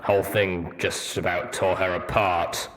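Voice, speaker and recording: A man voicing a grim, wistful armored pony veteran, measured and matter-of-fact, in a story reading. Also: deep voice, level tone, fairly bright tone, slight background noise. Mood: regretful. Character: brooding armored stallion veteran pony soldier, nostalgic, grim.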